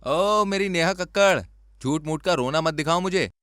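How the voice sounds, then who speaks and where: A man's voice: fairly high pitch, normal speed, fairly bright tone, smooth, no echo, clean studio sound, voice pushed up, natural voice. A man playing a greedy, exasperated poor village husband in a cartoon story, sarcastic and grumbling. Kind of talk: Hindi cartoon story dubbing.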